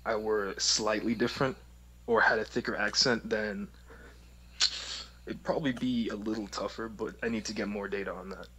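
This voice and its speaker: A man speaking as a podcast guest, reflective and measured with some hesitation. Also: fairly low pitch, fairly even intonation, bright, forceful tone, slight background noise, rough recording. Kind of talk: casual podcast chat.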